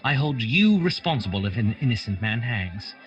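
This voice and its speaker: An actor playing a brisk, impatient, commanding male detective in a radio drama. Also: mid-range voice, sing-song, lo-fi sound, close to an everyday voice. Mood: brisk, urgent.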